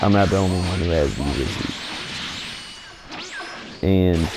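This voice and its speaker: A man getting over a cold, his voice scratchy and gravelly, giving laid-back, opinionated commentary on a video game. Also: sits low. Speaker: gaming commentator. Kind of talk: casual gaming commentary.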